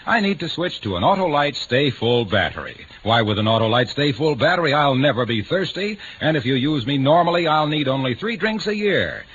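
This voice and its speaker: Lively, jaunty American radio pitchman. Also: a little fast, hardly any pauses. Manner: jaunty, theatrical, folksy storytelling. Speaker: radio commercial announcer. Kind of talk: 1940s radio comic sponsor pitch. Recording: dull, noisy old radio recording.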